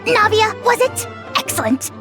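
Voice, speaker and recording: A woman's voice: extremely high pitch, very animated, moderate pace, over music. A woman voicing a pompous, easily offended little ancient dragon for a video game, haughty, bombastic and boastful. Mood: smug.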